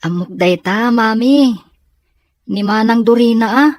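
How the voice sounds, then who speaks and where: Earnest young son of a Filipino family, played by a woman: caring, worried delivery, lightly high voice, audio drama. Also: unhurried, a little mellow, dry recording, natural voice. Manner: concerned, earnest. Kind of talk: amateur audio drama acting.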